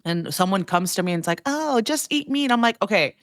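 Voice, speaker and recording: A woman's voice: mid-range voice, animated, a little fast, no room sound, clean studio sound. A woman giving casual conversational commentary as a podcast guest, rambling informally and peppering her sentences with "like". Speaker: podcast guest, food trends newsletter writer.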